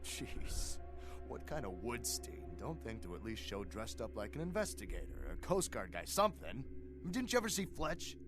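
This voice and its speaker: An actor playing an irritable, sarcastic crooked cop in a video game, exasperated, wisecracking and bossy. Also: male voice, medium pitch, very animated, moderate pace, a bit bright, faint music behind. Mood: exasperated, mocking.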